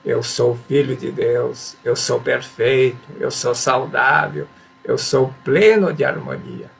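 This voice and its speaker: A man's voice: mid-range voice, takes it slowly, gravelly, husky. A man giving a religious teaching talk, reading passages aloud, explaining them and repeating affirmations with emphasis. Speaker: spiritual teacher.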